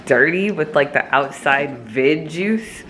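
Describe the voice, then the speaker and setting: A chatty woman with a slightly low voice talks casually to the camera at home, rambling and laughing. A faint hiss sits under her voice. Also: animated, slow pace, fairly bright tone. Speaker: vlogger. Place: home kitchen indoors.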